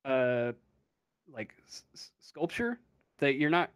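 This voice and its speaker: A game master improvising narration for a tabletop roleplaying game, pausing often to think. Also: medium pitch, sing-song, clean tone, dry recording.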